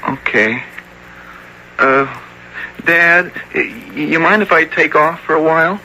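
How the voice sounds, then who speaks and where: Slow, earnest, simple teenage boy. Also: a little high, sharp tone, a little hiss or hum, heavily acted, rough recording. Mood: hesitant. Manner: naive, halting, wondering. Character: simple, earnest, dreamy teenage boy who talks to rocks. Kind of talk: 1970s radio drama acting, first-person storytelling. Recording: old radio broadcast with music underneath.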